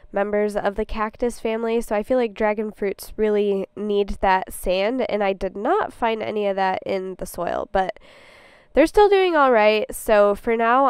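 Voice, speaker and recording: Chatty young woman gardener, smooth voice. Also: mid-range voice, no echo, studio quality. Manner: chatty and upbeat, laughs easily. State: slightly stuffy from a cold. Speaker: gardening vlogger. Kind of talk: casual vlog talk to camera. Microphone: camera on a tripod.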